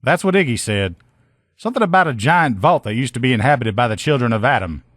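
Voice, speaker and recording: A man's voice: mid-range voice, lively intonation, fast, fairly bright tone, no room sound. A man voices a loyal, upbeat army lieutenant who fixes armor, written for a video game. He talks like a plain-spoken, folksy soldier, informal and sure of himself. The sound is dry, with no room around the voice. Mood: conversational. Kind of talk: video game character dialogue.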